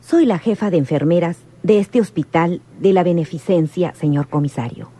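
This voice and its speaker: A prying head nurse in a radio serial. Her voice is low, husky and mellow, and her manner is polite, formal and probing. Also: moderate pace. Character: smooth-talking, prying female head nurse. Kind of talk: radionovela acting.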